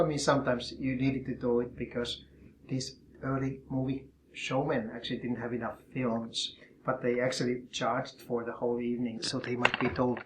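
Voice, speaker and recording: Calm man explaining. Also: mid-range voice, noisy background. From Finland. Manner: calm, explanatory, demonstrating objects as he talks. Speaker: professor and collector. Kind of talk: interview, explaining his collection. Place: his office.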